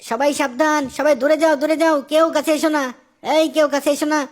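Woman acting out a cartoon villager, high-pitched, rapid speech, frightened, urgent, commanding. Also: steady tone, natural voice. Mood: alarmed. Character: frightened, urgent villager warning everyone away. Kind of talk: cartoon dialogue.

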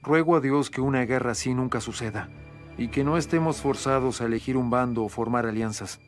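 A man's voice: mid-range voice, brisk, soft background music, natural voice. A man voicing a polite court interpreter. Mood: diplomatic. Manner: polite, careful diplomatic phrasing. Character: young male interpreter, smooth courtier. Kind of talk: Spanish dub of a historical series.

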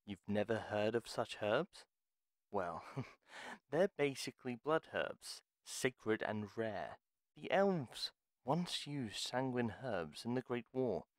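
A man playing a gruff, wary retired pharmacist in a fantasy audio roleplay, speaking in a formal, deliberate, theatrical way. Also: mid-range voice, big pitch swings, no room sound, clean studio sound. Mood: grave, storytelling. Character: gruff, reluctant retired male pharmacist, courteous but wary. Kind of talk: dramatic audio roleplay monologue.

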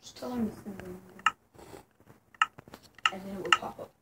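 A teenage girl playing a game with a friend, argumentative and often muttering low. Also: brisk, very noisy. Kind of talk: casual chat while gaming.

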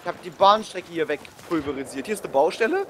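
A man's voice: fairly high pitch, lively intonation, quick pace, a bit bright, slight background noise. A young man casually commentating a game with a friend, using slang and English exclamations. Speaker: gaming video commentator. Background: shooter game gunfire and ambience.

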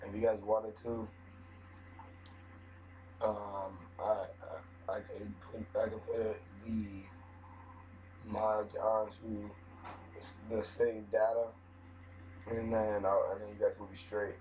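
A young man with a cold and a hoarse voice, rambling through casual commentary while modding a game, often restarting his sentences. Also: lowish voice, little pitch movement, takes it slowly, pauses often, darkish tone. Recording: dull lo-fi mic with faint noise.

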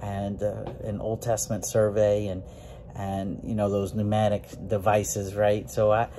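A forty-year-old preacher talks through scripture in a relaxed, folksy way, filling pauses with "uh" and lifting his pitch on key points. His voice is mellow and warm rather than bright. A faint room ambience sits under the close phone recording. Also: a little hiss or hum. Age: 40s. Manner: folksy, many fillers, rises in pitch on emphasis. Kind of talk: informal Bible lesson to camera. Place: small room with green walls. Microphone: phone or webcam at close range, looking up.

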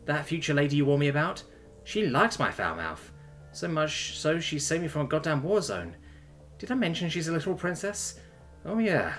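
A tough woman from a sci-fi story, acted out by a man, wry and tender underneath. The voice is pushed high and moves freely in pitch, with a bright edge and music behind it. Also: big pitch swings, brisk. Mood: wry, teasing. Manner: blunt, wry, casual swearing. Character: tough, foul-mouthed, battle-worn Terran woman, teasing and tender.

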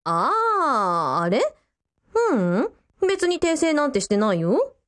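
A woman's voice: high voice, wildly swooping pitch, slow pace, fairly bright tone, full character voice. A woman playing a teasing, sociable young elder sister in a radio drama, with a casual, playful way of talking. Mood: breezy.

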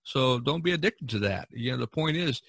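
A man's voice: medium pitch, animated, a little fast, gravelly, a bit bright, husky, no room sound. A man hosting a casual podcast, giving advice in a rambling, folksy way full of "you know". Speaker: podcast host and painter. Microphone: video call recording.

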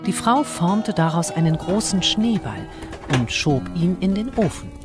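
A woman's voice: lowish voice, expressive, measured pace, music in the background. A woman narrating a fairy tale from a script as a storyteller.

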